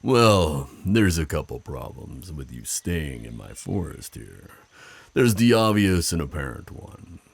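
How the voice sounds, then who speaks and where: An ASMR roleplay werewolf, acted out by a man, speaks close and dry in a rough, gravel-edged male voice. He is flirtatious and teasing, yet protective of his forest. His delivery is unhurried, with deliberate pauses. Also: big pitch swings, no echo, full character voice. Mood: wary, firm. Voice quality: very deep, gravelly, husky. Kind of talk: ASMR roleplay, intimate scripted monologue.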